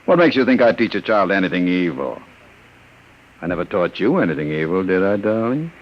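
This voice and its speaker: An actor playing a smooth, menacing gangster who talks in a cold, mocking way in a 1950s radio drama. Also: male voice, sits low, sing-song, fairly quick, slight background noise. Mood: seductive. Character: smooth menacing male gangster, middle-aged. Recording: muffled old radio broadcast.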